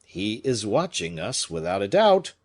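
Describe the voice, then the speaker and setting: A man's voice: on the low side, sing-song, a little slow, darkish tone. Brief: worried loyal aide, urgent and pleading, voiced by a male reader.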